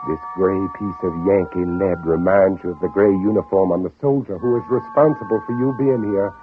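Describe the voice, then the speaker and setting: An actor playing an anguished Southern gentleman planter in theatrical, dramatic old-time radio style, sometimes narrating his own ordeal. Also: low voice, natural voice. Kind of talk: 1940s-50s radio drama acting. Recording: old radio, dull narrow band, music behind.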